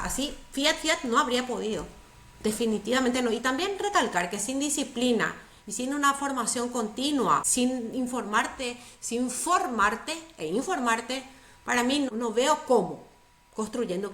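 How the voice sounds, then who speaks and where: A woman's voice: a little high, a bit bright, echoey room. A middle-aged woman, speaking as a guest in a conversational interview, earnest and emphatic, repeating words for stress. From Paraguay. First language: Spanish.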